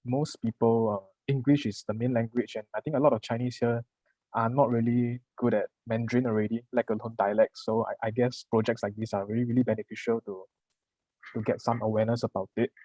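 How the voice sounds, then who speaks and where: A man's voice: medium pitch, level tone, a little fast, mellow, dry recording, rough recording. A man from Singapore interviewing a guest, asking curious, friendly questions.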